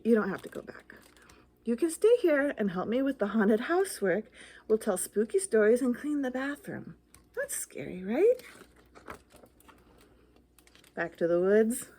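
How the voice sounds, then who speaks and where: A woman voicing a jumpy, bluffing little ghost in a children's picture book, theatrical and full of anxious questions. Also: fairly high pitch. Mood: skeptical, nervous.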